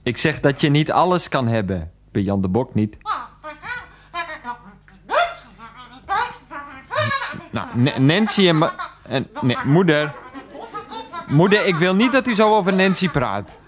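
A man playing a grown son in a radio play, talking on the phone to his mother in a halting, protesting, placating way. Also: mid-range voice, big pitch swings, natural voice. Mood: gossipy, defensive. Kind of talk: 1990s Dutch radio play, one-sided phone call.

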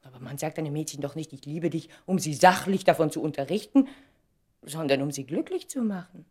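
Husky, tender woman in love. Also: sits low, animated, fairly quick, warm tone. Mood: wistful, playful. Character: tender wistful woman reuniting with her first love. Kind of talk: intimate German radio drama acting.

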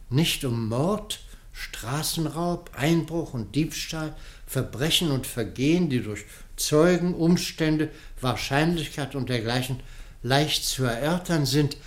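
A man playing a pompous public prosecutor in a radio play, pleading in court with declamatory speech and rhetorical pauses. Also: medium pitch. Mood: solemn, grave.

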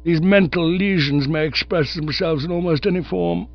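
A man doing a pompous old gentleman, high and stiff. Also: normal speed, creaky, dull audio. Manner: stiff, formal, indignant. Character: pompous elderly male nerve specialist.

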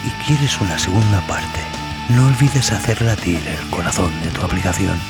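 A man narrating dramatic scripted horror as a podcast host, brooding, theatrical and deliberate in his delivery. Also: low voice, animated. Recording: loud music under the voice.

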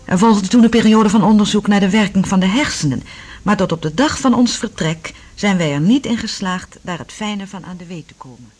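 A woman's voice: medium pitch, a little fast, a little mellow, natural voice. A woman acting an earnest scientist in a science-fiction radio drama, explaining in an articulate, thoughtful way. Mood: explanatory, earnest. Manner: expository, articulate.

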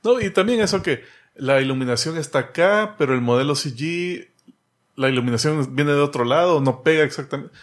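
A man's voice: a little high, expressive, a little fast. A man co-hosting a casual pop culture podcast, rambling and restarting often. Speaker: podcast co-host. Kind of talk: casual chat.

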